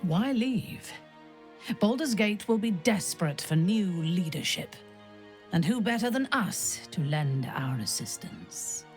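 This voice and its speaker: Fantasy game companion: proud, haughty female, lowish voice, formal and very deliberate. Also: big pitch swings, darkish tone, faint music behind, full character voice. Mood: proud, wistful. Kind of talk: dramatic game acting.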